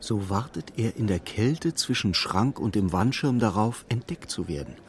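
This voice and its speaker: Man as radio drama storyteller, kinda low voice, measured scripted narration, calm observer type. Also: close to an everyday voice. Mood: composed, observant. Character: composed male storyteller observing a hapless clerk's misadventures.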